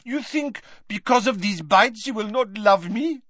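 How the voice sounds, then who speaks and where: A man's voice: medium pitch, animated, normal speed, husky, no echo, dull audio. A man voicing a proud, fierce young bride who speaks in a haughty, formal way. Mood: indignant. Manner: haughty, forceful, formal phrasing.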